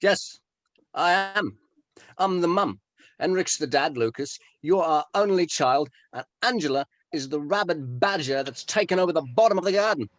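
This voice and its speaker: Man acting a wry bandmate, higher-pitched, dry room. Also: expressive, moderate pace, fairly bright tone, no echo. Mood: wry, mothering. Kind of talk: script table read.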